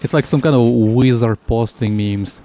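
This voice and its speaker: Mellow-voiced man rambling on a game stream. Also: medium pitch, slight background noise. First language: Russian. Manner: rambling, halting, jokey. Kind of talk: casual game livestream commentary.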